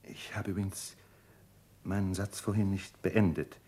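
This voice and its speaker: An actor in a German radio play playing an earnest, lovestruck doctor with a husky voice, speaking formally and deliberately. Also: male voice, low-pitched, level tone, warm tone. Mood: pleading, ardent. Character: earnest, lovestruck Russian male doctor, tormented and tender.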